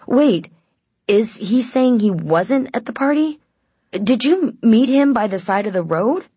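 Woman voicing a sharp, high-strung sarcastic teenager. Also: drawn-out words, no echo. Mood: incredulous sarcasm. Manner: biting, incredulous.

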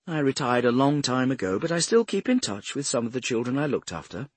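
A man's voice: medium pitch, big pitch swings, a little fast, husky, dry recording, voice pushed up. A man narrating an audiobook as a prim, self-righteous older woman with a cultured English accent. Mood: wary, probing. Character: cultured, haughty retired care-home matron, a grandmother.

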